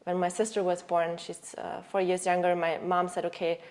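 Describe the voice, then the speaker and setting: A woman in her thirties from Germany, a yoga teacher chatting casually in a podcast interview. She speaks in a slightly low voice and often stops to search for words or restart a phrase. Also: flat delivery, normal speed, a little mellow.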